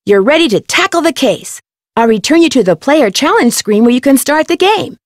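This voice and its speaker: A woman playing a curious, upbeat young female detective in a video game, speaking clearly in a polite, friendly, inquisitive way. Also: high-pitched, sing-song, moderate pace, dry recording, studio quality. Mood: cheerful, instructive. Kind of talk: scripted video game voice acting.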